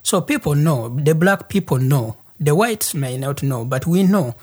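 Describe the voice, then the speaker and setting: A man with a Ugandan accent telling a personal story as an interview guest, in deliberate, halting phrases. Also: fairly high pitch, expressive, a little mellow, slightly rough. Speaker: interview guest, human rights activist.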